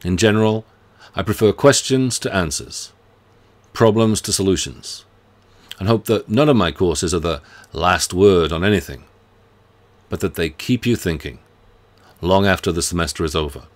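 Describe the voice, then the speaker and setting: A middle-aged man, a professor giving a scripted welcome to his students. His voice sits very low, and he speaks in a measured, earnest, friendly way. He was recorded at his desk in a book-lined home study. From United Kingdom. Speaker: university professor. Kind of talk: scripted self-introduction to students.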